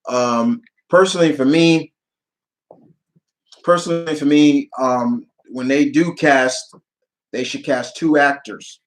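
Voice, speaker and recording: A man hosting a casual livestream Q&A, speaking off the cuff in a halting way, repeating phrases and reading viewer questions aloud before answering. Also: mid-range voice, rough recording.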